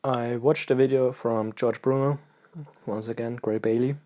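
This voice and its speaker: Mellow Austrian man, flat easygoing chat. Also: mid-range voice. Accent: Austrian German. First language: German. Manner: halting, relaxed, pauses mid-sentence. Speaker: pipe-smoking vlogger. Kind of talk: casual chat to camera.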